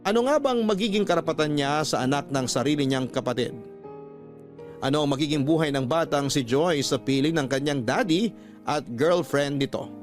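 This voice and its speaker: A man with a Filipino accent reading scripted, sentimental stories as a radio host in a measured way. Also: a little high. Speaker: radio storytelling host reading listener letters. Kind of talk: scripted dramatic narration. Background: music bed.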